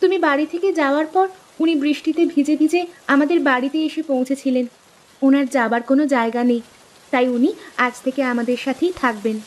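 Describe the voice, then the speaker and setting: Woman as a high-voiced village wife. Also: fairly even intonation, a little fast, close to an everyday voice. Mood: earnest, practical. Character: earnest village farmer's wife, worried yet practical. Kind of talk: acted Bengali moral story dialogue.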